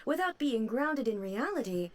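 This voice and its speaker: An actress voicing a composed, regal woman ruler in a game. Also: on the high side. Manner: calm, measured, slightly puzzled. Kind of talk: game dialogue.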